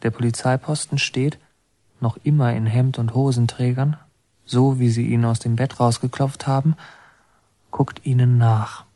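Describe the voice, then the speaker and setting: An actor playing a brooding, haunted young man who narrates his memories in a deliberate, halting, literary radio drama style. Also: medium pitch, fairly even intonation, mellow, no echo, close to an everyday voice. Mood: tense. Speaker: narrator and protagonist.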